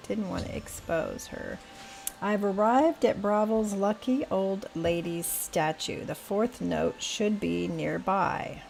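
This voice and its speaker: An older woman with a scratchy voice, slowly thinking aloud as she plays a video game. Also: mid-range voice, creaky. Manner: thinking aloud, slow and musing. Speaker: gaming channel host. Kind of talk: casual gameplay commentary. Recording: steady rain noise behind. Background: rain.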